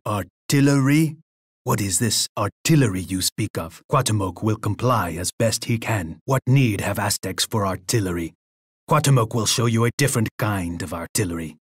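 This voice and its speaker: An actor playing a proud, bloodthirsty Aztec emperor in a video game, with a pompous, declamatory delivery. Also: male voice, fairly low pitch, husky, no echo, studio quality. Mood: proud, scornful. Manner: pompous, declamatory, speaks of himself in third person.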